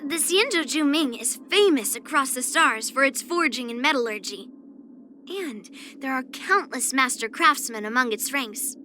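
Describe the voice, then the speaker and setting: Game casting: young swordswoman, high bright girlish voice, blunt, proud, earnest with a touch of pout. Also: lively intonation, normal speed, a little hiss or hum. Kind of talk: character voice lines for an anime-style video game.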